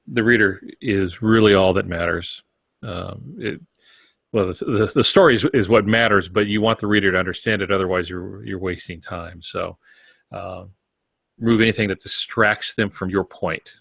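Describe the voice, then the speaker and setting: A man's voice: deep voice, creaky, a bit bright, dry recording, clean studio sound. An older man co-hosting a podcast, running through practical tips in a conversational, instructive way. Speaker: podcast co-host. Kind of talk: conversational practical advice from notes.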